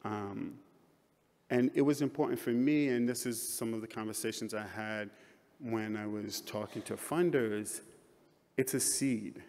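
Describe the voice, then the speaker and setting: A man giving a reflective, measured conference talk about his work. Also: fairly low pitch, a little mellow. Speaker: panelist artist. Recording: reverberant hall.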